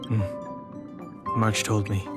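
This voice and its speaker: A man's voice: fairly low pitch, completely flat delivery, takes it slowly. A man voicing a somber, guarded young exile in a video game, restrained and serious. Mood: reserved, troubled. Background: game music.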